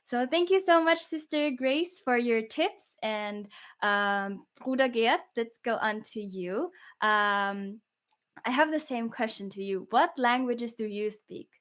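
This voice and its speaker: A woman with a Filipino accent hosts a radio interview, asking questions politely and praising answers. Her voice sits a bit high. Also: slow, fairly bright tone. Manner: polite, encouraging, "po" fillers. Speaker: radio host.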